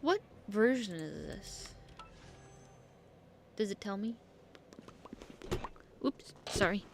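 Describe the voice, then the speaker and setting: A woman's voice: medium pitch, animated, very slow, background noise. A woman streaming a game, muttering blunt, slangy commentary to herself. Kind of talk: casual gameplay commentary. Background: faint game music, keyboard clicks.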